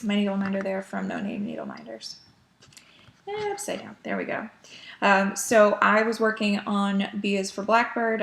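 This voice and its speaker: Sniffly chatty woman with a gravelly voice. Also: medium pitch, a bit bright. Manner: chatty with frequent ums and small laughs. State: sniffly from a cold. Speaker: cross-stitch vlogger. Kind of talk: casual chat.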